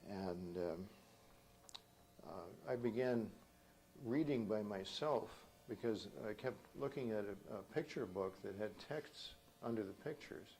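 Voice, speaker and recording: An older man from Minnesota in his seventies tells his memories in a relaxed, reflective way, pausing and restarting his sentences. His voice sits low and carries a gravelly rasp. A faint hiss runs underneath. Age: 70s. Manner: unhurried, reflective storytelling with small restarts. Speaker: oral history interviewee. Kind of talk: reminiscing oral history.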